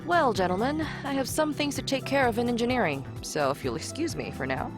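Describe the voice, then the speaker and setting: A woman's voice: fairly high pitch, expressive, brisk, close to an everyday voice. An actress voicing a confident, friendly female spaceship engineer in a visual novel game, breezy and self-assured. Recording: music clearly playing behind.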